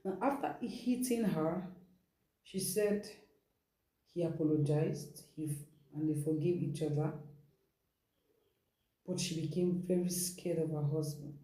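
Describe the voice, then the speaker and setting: A woman's voice: sits low, unhurried, long pauses, dark tone. A woman with a Nigerian accent telling a dramatic story as a host, emphatic and repeating phrases for effect. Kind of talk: dramatic storytelling, retelling someone's account. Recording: echoey room.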